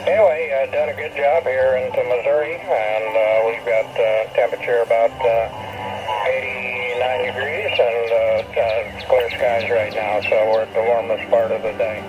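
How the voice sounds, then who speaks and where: Over a shortwave radio, an American man rambles haltingly in a casual amateur radio chat. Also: mid-range voice, fairly even intonation, loud music behind the voice. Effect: shortwave radio transmission. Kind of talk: casual ham radio rag chew. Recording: noisy, muffled shortwave radio reception. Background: loud radio static.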